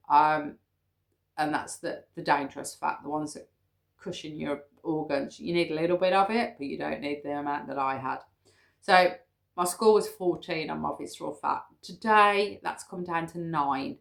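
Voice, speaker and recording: British woman, 50s, vlogger; deep with vocal fry, chatty, methodical. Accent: British English. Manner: chatty, explains figures step by step, frequent ums. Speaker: weight-loss vlogger. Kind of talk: casual talk to camera.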